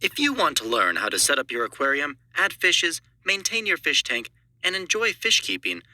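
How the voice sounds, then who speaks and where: A man's voice: a little high, big pitch swings, moderate pace, bright, forceful tone, dry recording. A man reading scripted audiobook narration as an audition.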